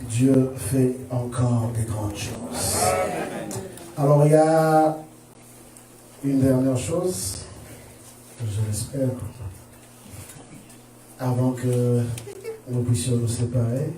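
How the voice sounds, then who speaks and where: A man's voice: mid-range voice, very slow, long pauses, mellow, a little hiss or hum. A man leading a church service, speaking in a solemn, emphatic way. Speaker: pastor. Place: church hall. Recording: echoey hall, voice from far off.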